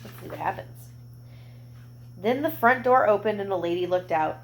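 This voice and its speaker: A woman reading a picture book aloud to young children, expressive, with gentle explanatory asides. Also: mid-range voice, moderate pace, vocal fry.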